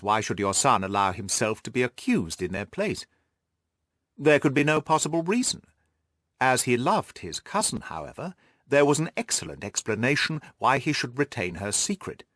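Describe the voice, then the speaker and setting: A man reading the part of a shrewd, self-assured gentleman detective, precise and methodical, in an audiobook. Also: mid-range voice, sing-song, moderate pace, dry recording, studio quality. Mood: reasoning, confident.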